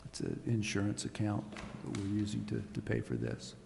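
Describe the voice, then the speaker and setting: A man's voice: on the low side, flat delivery, gravelly, mellow. City official guy, lots of uhs, bit of room hum and long reverb. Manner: halting, frequent "uh" pauses. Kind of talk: answering council questions. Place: council chamber. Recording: reverberant chamber with faint ambience.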